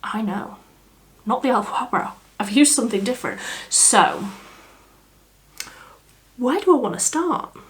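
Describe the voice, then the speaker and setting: Slow-talking, slightly croaky young woman. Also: on the high side, very animated. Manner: chatty, easygoing, thinking aloud. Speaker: beauty vlogger. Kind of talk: casual makeup tutorial chat.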